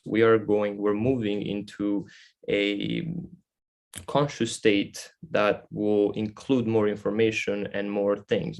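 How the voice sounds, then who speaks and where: A man with an Italian accent gives an informal talk in a fairly low voice with a strong gravelly creak. His delivery is halting and reflective as he explains things as a guest speaker. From Italy. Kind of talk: informal spoken presentation.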